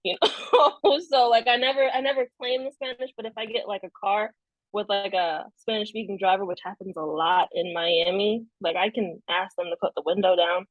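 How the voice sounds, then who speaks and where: A woman's voice: medium pitch, fairly even intonation, moderate pace, no echo, muffled recording. A woman author whose first language is Haitian Creole, speaking in a casual interview, hesitant and full of fillers. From South Florida. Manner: hesitant, many fillers, soft laughs. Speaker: author guest.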